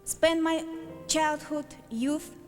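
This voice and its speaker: Woman from Azerbaijan, high voice, slow delivery, church testimony, short emphatic phrases. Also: fairly even intonation. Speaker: church member giving a testimony. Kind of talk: prepared testimony speech to a congregation. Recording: echoey hall, long reverb, music.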